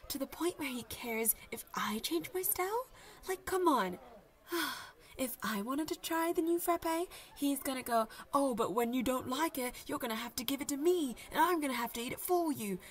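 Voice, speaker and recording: A woman's voice: high-pitched, expressive, normal speed. A young woman voice acting a lovestruck, chatty student gushing dramatically to friends in an audio roleplay. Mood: indignant. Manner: gushing, dramatic, babbly, sighing. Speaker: voice actor. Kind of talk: audio roleplay, one-sided conversation with friends.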